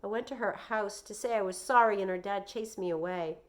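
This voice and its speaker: A woman reading a children's novel aloud, voicing an eager, talkative young boy who is earnest and excitable. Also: mid-range voice, fairly quick, natural voice.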